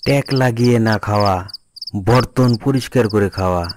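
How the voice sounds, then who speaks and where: A man's voice: mid-range voice, fairly quick, background noise, natural voice. A man playing a pious, patient father who instructs his young son in an Islamic moral cartoon. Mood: instructive. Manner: instructive, admonishing.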